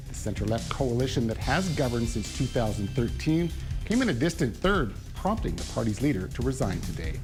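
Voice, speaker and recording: A Canadian man presenting the local TV weather and news, reading with many "uh" fillers. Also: medium pitch. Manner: lively delivery with frequent "uh" fillers. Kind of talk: live TV weather and news read. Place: local TV studio.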